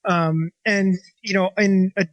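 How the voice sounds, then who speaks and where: A chef and restaurant owner from Washington State, talking casually in an interview and rambling with lots of fillers and restarts. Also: male voice, high voice, fairly even intonation, unhurried, no room sound, highs cut off. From Washington State, USA.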